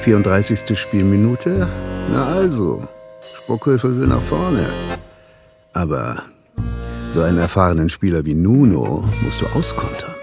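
A man's voice: on the low side, huge pitch swings, unhurried, vocal fry, music in the background, full character voice. A man playing a wry, needling police detective who also coaches football, in a radio crime drama. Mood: focused, musing. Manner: sardonic, probing.

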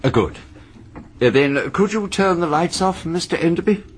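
An actor playing an earnest, fussy older English gentleman with a polite, precise and insistent manner in a radio mystery. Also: a little high, lively intonation, moderate pace, natural voice. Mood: solemn. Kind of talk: radio mystery drama acting. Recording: faint background noise.

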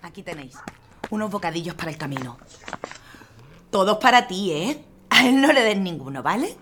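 A woman's voice: mid-range voice, very animated, moderate pace, a bit bright, slight background noise. An actress playing a stubborn, teasing young militia woman. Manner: determined, teasing.

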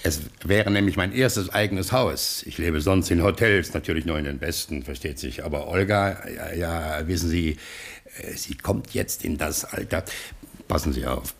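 Deep, husky, anxious gangster boss. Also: male voice. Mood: gruff confiding. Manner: stammering, hesitant, confiding, flaring into pleading bluster. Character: ruined American gangster boss, blustering, anxious and self-pitying. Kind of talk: German radio play acting.

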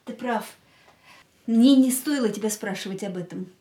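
A woman's voice: fairly high pitch, normal speed, dark tone, slightly rough. An actress playing a frank, intense young woman hungry for life in a radio play, with a dramatic, searching manner. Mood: pensive.

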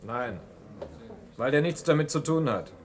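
An actor playing an evasive, nervous defendant in a German radio play, halting and defensive under questioning. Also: male voice, on the high side, animated, crowd noise.

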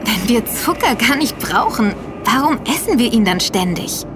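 A woman's voice: fairly high pitch, animated, normal speed, music in the background, lightly acted. An actress playing an informed, inquisitive woman who speaks in an explanatory way in a scripted audio drama.

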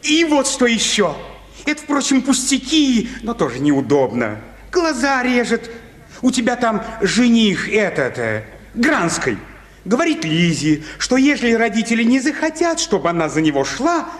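A man's voice: very high voice, big pitch swings, unhurried, exaggerated voice. A man acting a fussy, moralizing, gossipy petty official in a radio play, with an emphatic, scolding manner. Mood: disapproving. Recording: reverberant hall-like studio.

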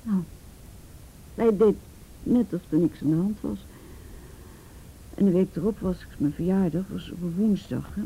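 A woman's voice: lowish voice, normal speed, creaky, long pauses, mellow, breathy edge, exaggerated voice, poor audio. An actress playing a frightened teenage girl in a Dutch radio play, stammering and repeating words as she recounts painful memories. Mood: shaken, subdued.

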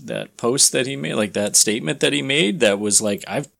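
A man with an average-pitched voice talks as a podcast co-host. He explains in an informal, slightly halting way, working out his point as he goes. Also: gravelly, a bit bright. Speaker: comics news podcast co-host. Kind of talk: casual explanatory chat.

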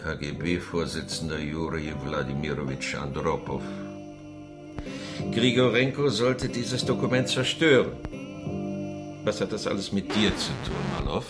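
A man's voice: rumbling low, measured pace, over music. An actor in a German radio drama playing a stern, contemptuous Soviet secret police chairman with a pompous manner. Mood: official, clipped, then stern, indignant.

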